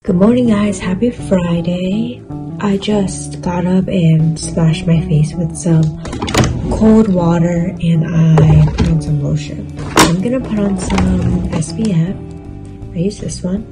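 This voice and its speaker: A woman's voice: lowish voice, slow, over loud music. A young woman vlogging at home, chatting casually and tiredly to a phone camera with lots of fillers. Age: young adult. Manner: relaxed, chatty, filler-heavy with "like" and "kinda". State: low energy, tired. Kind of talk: casual vlog chat to camera. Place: bedroom, indoors, small. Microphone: phone camera at arm's length.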